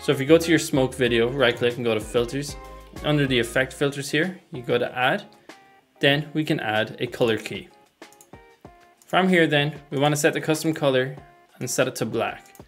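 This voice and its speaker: A young man walks through software steps in a casual tutorial style. His mid-pitched voice is picked up by a close desk mic, with music playing behind him. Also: moderate pace. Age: young adult. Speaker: tutorial host. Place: small room at home, indoors. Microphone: desk microphone close in front.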